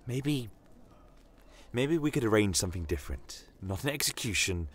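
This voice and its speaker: A man voicing a compassionate female healer in a fantasy audio drama, speaking in a gentle, pleading manner. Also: lowish voice, sing-song, normal speed, breathy edge. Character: earnest, compassionate female healer advising a commander.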